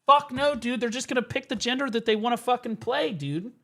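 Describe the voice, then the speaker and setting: A man's voice: extremely high pitch, fairly quick, creaky, fairly bright tone. A man streaming, reacting to clips with emphatic, profane, rambling commentary. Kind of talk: casual ranting commentary.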